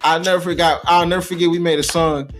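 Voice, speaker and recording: A man's voice: fairly high pitch, fairly even intonation, quick pace, fairly bright tone, soft background music. A young American man in casual, slangy, profane podcast storytelling, repeating himself for emphasis. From Cleveland, United States. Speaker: podcast co-host.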